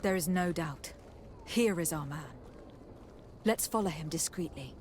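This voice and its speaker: A woman's voice: deep voice, unhurried, a little mellow, a little hiss or hum. Firm, formal noblewoman investigator. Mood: determined. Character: resolute young noblewoman investigator, protective and commanding. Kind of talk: video game voice acting.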